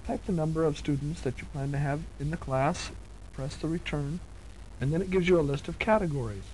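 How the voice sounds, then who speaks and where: A man's voice: a little high, fairly quick, a little mellow, a little hiss or hum, rough recording. A man giving methodical, step-by-step scripted instructional narration, recorded on a 1970s cassette tape.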